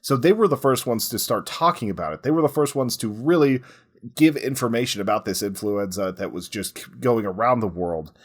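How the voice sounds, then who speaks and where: A man with a husky voice telling history conversationally, as a podcast host. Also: medium pitch, expressive, fairly quick.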